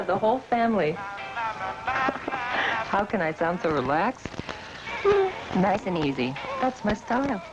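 Chatty woman on one side of a phone call. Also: medium pitch. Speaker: ad spokeswoman. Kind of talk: scripted ad testimonial. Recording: dull 1980s TV audio with music.

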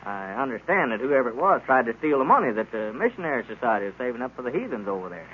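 A man's voice: mid-range voice, big pitch swings, fast talker, close to an everyday voice. An actor playing an earnest, righteous small-town townsman, talkative and emphatic, in old-time radio drama. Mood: chatty. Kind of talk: 1930s-40s radio drama acting. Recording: muffled, noisy old radio recording.

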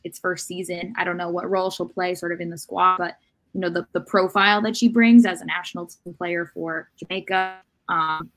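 A woman in her thirties co-hosting a sports podcast on a video call from home, with halting, hedged phrasing. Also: lowish voice, poor audio. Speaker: podcast co-host. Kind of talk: casual sports discussion. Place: room at home, indoors.

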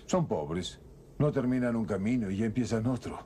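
A man's voice: fairly low pitch, lively intonation, normal speed, mellow, a little hiss or hum, natural voice. A man dubbing a film role as a male lawman tracking a fugitive, asking probing questions. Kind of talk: film dub acting.

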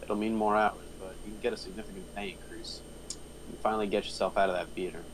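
Man playing a low-voiced domineering big-shot boss. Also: little pitch movement, fast, background noise, natural voice. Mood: commanding. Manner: forceful, blunt. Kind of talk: screenplay table read.

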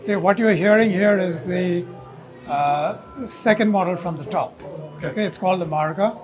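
Man, 60s, high voice, halting technical explainer in interview, music behind. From India. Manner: halting, explanatory, tags statements with "okay". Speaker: loudspeaker company owner and designer, interviewee. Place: hotel corridor at an audio show. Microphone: lapel mic.

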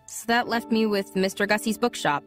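A woman's voice: medium pitch, moderate pace, a bit bright, faint music behind, lightly acted. A woman voicing a wayward teenage girl who narrates and talks to an old man, hesitant and apologetic in scenes, in an audio drama.

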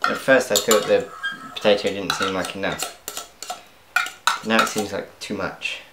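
A man's voice: low-pitched, steady tone, unhurried, very noisy. Self-mocking man cooking at home. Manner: self-deprecating, chatty, laughs at his own mishaps. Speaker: cooking vlogger. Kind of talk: casual cooking commentary. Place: home kitchen. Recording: echoey kitchen, faint clatter of pans.

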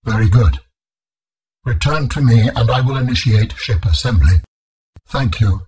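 An actor voicing an ancient, solemn alien in a video game, speaking in formal, measured, grave phrases with a husky tone. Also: male voice, medium pitch, lively intonation, darkish tone. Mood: calm, grave. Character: ancient alien architect being, male, solemn and calm.

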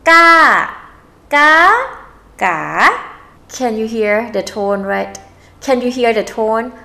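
Thai lady teaching to camera, kinda high voice, lively, keeps repeating words, indoor room. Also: slow pace, gravelly. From Thailand. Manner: animated, repeats words, gestures with hands. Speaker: language teacher. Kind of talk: casual spoken lesson to camera. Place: indoor room with a holiday tree.

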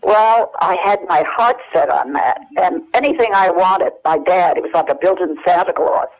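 An older American woman reminiscing as an interview guest, telling anecdotes haltingly over a telephone. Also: lowish voice. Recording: dull lo-fi telephone hook-up.

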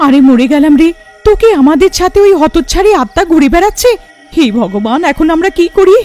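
A woman's voice: very high voice, lively intonation, fairly quick, a little mellow, faint music behind. A woman voicing a frightened young village wife in a cartoon, anxious, pleading and dramatic. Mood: alarmed. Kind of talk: cartoon voice acting.

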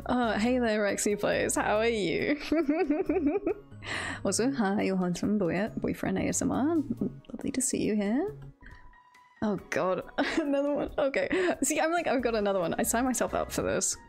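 A woman's voice: on the high side, lively intonation, moderate pace, a little mellow, faint music behind. A woman streaming a video game, chatting casually and reacting aloud in a playful, giggly manner. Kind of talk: casual livestream chat and game commentary.

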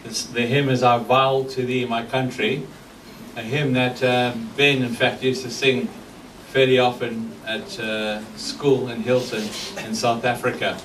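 A man in his forties addresses a gathering in a plain, halting way. His mid-pitched voice comes through a podium microphone in an echoey room, heard at some distance with noise behind it. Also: little pitch movement, fairly bright tone. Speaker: memorial service officiant. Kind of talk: informal announcement to a congregation. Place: large crowded wood-panelled clubhouse hall, indoors. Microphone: gooseneck podium microphones.

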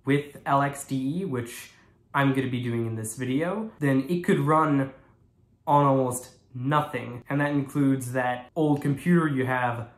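A young man with a casual, explanatory manner walks viewers step by step through a software install in a tutorial. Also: mid-range voice, measured pace. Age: young adult. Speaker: tech YouTuber. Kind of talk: screen-recorded tutorial walkthrough. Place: small room indoors.

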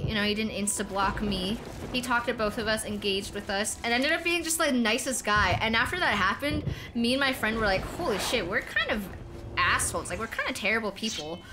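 A young woman streaming a game, with a very high-pitched, childlike voice, telling a rambling, self-deprecating story with laughs and swearing. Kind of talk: casual gaming stream chat.

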